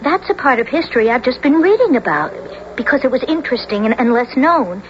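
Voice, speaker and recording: A woman's voice: sits high, expressive, brisk. A woman playing an anxious, bookish teenage girl in a radio drama, earnest and hesitant in her speech. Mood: amazed, earnest. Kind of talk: 1970s radio drama acting. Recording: muffled, faintly hissy old radio recording.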